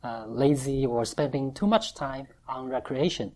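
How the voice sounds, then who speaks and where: A woman from Taiwan, preaching in short, halting phrases and pausing for an interpreter. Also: a bass voice, a little mellow. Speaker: preacher giving testimony. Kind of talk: sermon with line-by-line interpretation.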